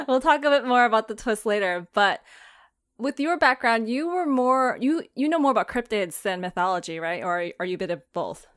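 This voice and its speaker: A woman's voice: a little high, normal speed, a bit bright. A woman hosting a podcast in a casual, giggly and informal interview style. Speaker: podcast host and creator.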